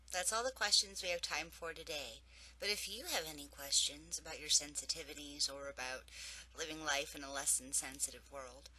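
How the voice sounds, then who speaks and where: A woman's voice: deep voice, sharp tone, husky, rough recording. A woman coach with a cold, speaking earnestly and encouragingly about intuition and spirituality in an advice vlog. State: has a cold, losing her voice. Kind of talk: advice vlog answering viewer questions.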